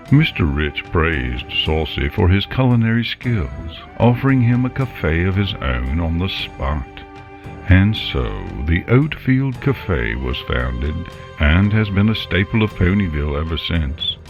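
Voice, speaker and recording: A man playing a grave, grandiose storyteller, narrating a legend with solemn, theatrical, measured phrasing. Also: sits low, exaggerated voice. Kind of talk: dramatic scripted narration. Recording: dull sound under loud music. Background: music.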